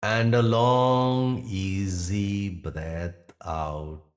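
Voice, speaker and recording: Slow, low-voiced male meditation guide. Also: fairly even intonation. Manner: soothing, unhurried, instructional with pauses. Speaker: yoga nidra meditation guide.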